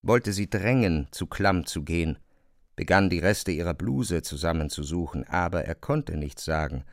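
Male narrator, lowish pitch, gravelly, measured, serious, dry close studio sound, literary narration. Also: lively intonation, no room sound.

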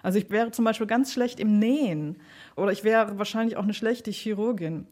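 A woman's voice: mid-range voice, fairly quick, a little mellow. A woman speaking spontaneously and informally in an interview, thinking aloud in loosely strung, reflective thoughts. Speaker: interviewed radio play maker, composer and performer.

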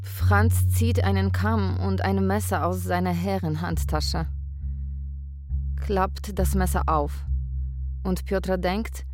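A woman playing a wary Polish live-in carer in a radio drama, deliberate and hesitant, also narrating the scene. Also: on the low side, fairly even intonation, a little mellow, faint music behind. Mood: measured, wry. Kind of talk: measured literary radio drama narration and dialogue.

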